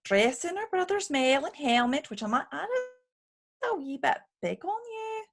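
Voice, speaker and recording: A woman's voice: high voice, very animated, measured pace, heavily acted. A woman playing a sassy, sarcastic lady's maid, loyal but teasing, in a radio play. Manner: sarcastic, needling.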